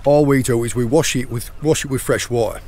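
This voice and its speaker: A hoarse, croaky middle-aged man talks casually while foraging outdoors on a rainy rocky shore. His pitch sits in a middle range, and he keeps up a chatty flow. A faint hiss of noise runs under the voice. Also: steady tone, a little fast, darkish tone. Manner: chatty, points things out as he goes. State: hoarse, croaky sore throat. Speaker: channel host and foraging guide.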